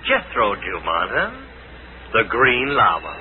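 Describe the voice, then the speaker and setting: Oily taunting crook, tinny radio. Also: male voice, lowish voice, sing-song, slow pace, noisy background. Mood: taunting, menacing. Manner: taunting, falsely friendly. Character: mocking, oily, menacing male crook. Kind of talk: 1940s radio drama acting. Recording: tinny vintage radio recording, loud crowd.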